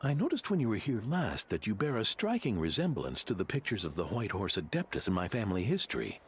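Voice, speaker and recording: A man's voice: lowish voice, huge pitch swings, fast, slight background noise. An actor playing a genial, devout old grandfather who runs a guest house, speaking in a hearty, courteous way in a video game. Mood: warm, welcoming. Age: older. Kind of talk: video game character dialogue.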